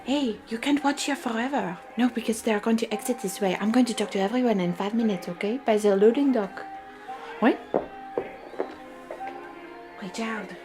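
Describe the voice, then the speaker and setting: Woman acting chief stagehand, tough yet fond, earnest and halting, radio drama, music behind. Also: a little high, natural voice. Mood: brisk, busy. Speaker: actor in an audio drama.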